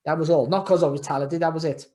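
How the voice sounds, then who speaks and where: A man's voice: a little high, steady tone, fast, warm tone. A middle-aged man from Wales, a former boxer chatting as a podcast guest over a video call. He talks earnestly, repeating phrases and restarting, then turns emphatic. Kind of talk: casual interview chat. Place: home room, indoors.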